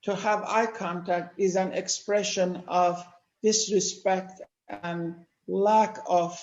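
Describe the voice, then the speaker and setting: An older man from Turkey, speaking natively, giving a measured lecture-style talk with many pauses. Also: sits high, steady tone, darkish tone, roomy sound, muffled recording. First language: Turkish. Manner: measured, explanatory, with frequent "uh" pauses. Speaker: panel speaker. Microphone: video call.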